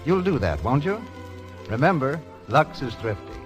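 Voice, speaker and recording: A man's voice: medium pitch, sing-song, moderate pace. 1930s radio announcer, a man with music behind. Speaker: radio show announcer and host.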